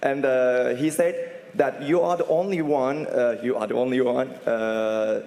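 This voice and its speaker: A man from Turkey, a journalist asking a question in halting English full of fillers. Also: mid-range voice, fairly even intonation, creaky, a little mellow. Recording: echoey reverberant press hall.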